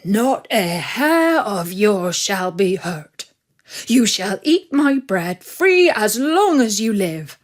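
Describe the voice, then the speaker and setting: A woman's voice: mid-range voice, very animated, takes it slowly. Woman putting on a blunt, emphatic farmer. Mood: grateful. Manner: loud, emphatic, bossy. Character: blunt, emphatic farmer man, master of an old dog. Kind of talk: fairy-tale storybook reading.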